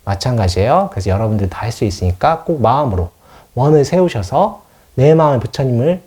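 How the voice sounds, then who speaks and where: A man's voice: medium pitch, expressive, a little fast, warm tone. A man who is a Buddhist monk giving an informal dharma lecture on scripture, explaining as he goes and often answering his own questions. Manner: explanatory, poses questions and answers them himself.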